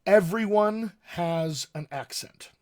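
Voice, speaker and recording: A man's voice: high voice, slow, darkish tone. A man from Colorado with a general American accent, speaking as a dialect coach in an informal live teaching session, emphatic and repeating key points for stress. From Colorado, United States.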